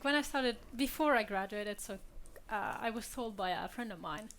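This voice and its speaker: Young woman, panelist, candid and laughing, mid pitch, faint hiss. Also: normal speed, a bit bright. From Switzerland. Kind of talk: panel discussion.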